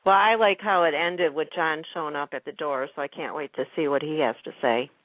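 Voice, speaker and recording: A woman's voice: low-pitched, fairly quick, no echo. A woman hosting a radio chat in an informal, gushing way, often repeating her words. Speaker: radio show host. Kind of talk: casual chat about soap operas. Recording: narrow phone-like radio line.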